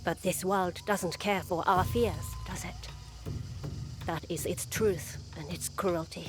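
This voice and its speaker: Proud, unyielding warrior, faint score. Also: female voice, on the low side, steady tone, music in the background. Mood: reflective. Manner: formal, deliberate, poetic phrasing. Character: proud, unyielding female naginata warrior, earnest and poetic. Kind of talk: dramatic video game acting.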